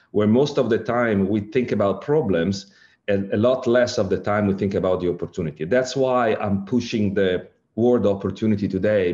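A middle-aged man from Italy with an Italian accent, giving an online lecture with slides, halting and full of fillers and rephrasings. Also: fairly low pitch, a little mellow, highs cut off. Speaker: lecturer, director of an ageing innovation centre.

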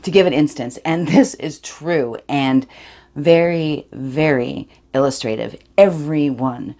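A woman's voice: sits low, slow, warm tone. A woman performing a dramatic monologue as a privileged, awkward eighteen-year-old girl, sly and defensive, rambling confessionally. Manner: rambling, confessional, self-justifying.

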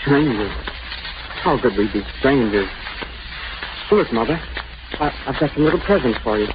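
Gentle young soldier son played by an actor. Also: male voice, medium pitch, animated, natural voice. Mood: gentle, eager. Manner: halting, gentle, reassuring. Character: weary eighteen-year-old German soldier son, gently reassuring. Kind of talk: 1930s radio acting. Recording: noisy, muffled 1930s radio broadcast.

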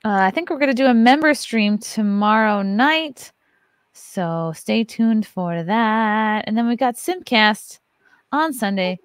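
A woman's voice: on the high side, animated, takes it slowly, smooth, dry recording. A woman in her thirties hosting a casual livestream podcast from a home studio, loose and joking, swearing freely. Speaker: podcast host. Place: home studio with neon lights. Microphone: large studio mic on arm. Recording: dry close-mic sound.

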